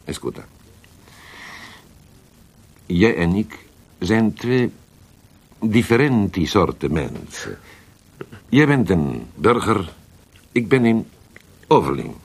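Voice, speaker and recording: A worldly Italian poet fallen from favour, in a radio play. His very deep voice is gravelly and swings widely in pitch. He speaks with an Italian accent, theatrical and halting, dropping in Italian words as he schemes. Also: sing-song, a little mellow, heavily acted. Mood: expansive, proud. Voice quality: gravelly, husky. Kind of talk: radio drama acting.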